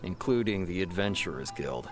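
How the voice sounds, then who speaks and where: A man's voice: deep voice, expressive, normal speed. A man playing an official police investigator in a video game. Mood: businesslike. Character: official male investigator of a city police force. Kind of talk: video game dialogue acting. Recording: faint game music behind.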